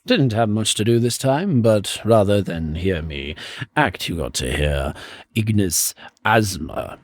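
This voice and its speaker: Deadpan guy, kinda formal, slow low voice, musing to himself between takes. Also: dry recording. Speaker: amateur voice actor. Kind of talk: dry monologue between takes.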